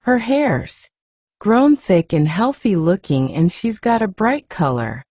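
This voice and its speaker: A woman reader performs a plain-spoken housekeeper from a storybook, full of complaint and exasperation. Her voice swings up and down in pitch, with a soft, mellow tone. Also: sing-song, slow, no room sound, studio quality. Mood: matter-of-fact. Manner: complaining, dialect-laden.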